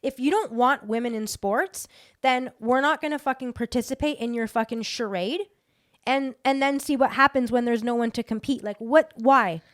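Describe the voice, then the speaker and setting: A woman with a somewhat high voice hosts a casual podcast. She talks bluntly and swears freely. She presses her guest with incredulous questions. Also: normal speed. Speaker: podcast host.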